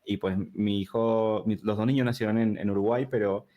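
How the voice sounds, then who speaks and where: Guest: thirties man, from Peru, River Plate accent, lowish voice, loose and chatty. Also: fairly quick, muffled recording. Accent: Rioplatense Spanish. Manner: relaxed and talkative, with frequent fillers and restarts. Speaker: guest, digital product designer. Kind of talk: casual interview conversation.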